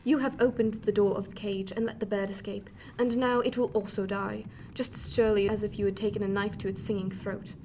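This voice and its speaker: A woman's voice: medium pitch, flat delivery, a little fast, few pauses, mellow, natural voice, rough recording. A woman plays a bitter 18th-century lady and mother in a radio drama. She speaks in formal period phrases with a dry, cutting edge, over faint background noise. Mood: bitter, grim.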